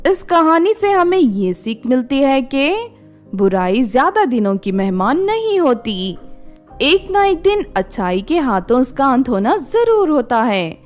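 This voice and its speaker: A woman storyteller with a fairly high voice narrates a scripted tale for children. Soft music plays beneath her reading. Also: very animated, a little slow.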